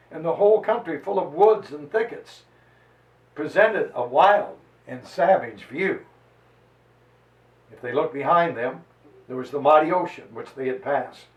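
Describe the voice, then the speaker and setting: A man giving a solemn, theatrical dramatized reading of a historical narrative, declaiming like a preacher with dramatic pauses and swells. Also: sits high, highs cut off. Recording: roomy echo, distant microphone.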